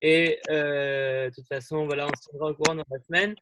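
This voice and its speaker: A man's voice: a little high, steady tone, fairly quick, background noise. A young man hosting an online group meeting, talking in a friendly, rambling way with many fillers and restarts over a video call. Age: young adult.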